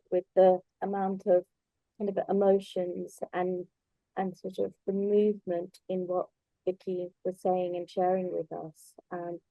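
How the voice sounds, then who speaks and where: Thoughtful woman with hesitant, halting phrasing. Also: medium pitch, dark tone, no echo, rough recording. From Bangladesh in early childhood, then moved abroad. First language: Bengali. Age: middle-aged or older. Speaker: invited speaker at a book launch. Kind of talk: reflective conversational talk.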